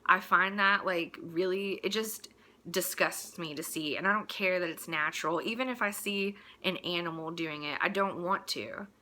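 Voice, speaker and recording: A woman's voice: lowish voice, normal speed, pushed and bright, a little hiss or hum. A woman in a casual, opinionated rant, speaking in a blunt, crude and exasperated manner with mocking asides. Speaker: host delivering a personal rant.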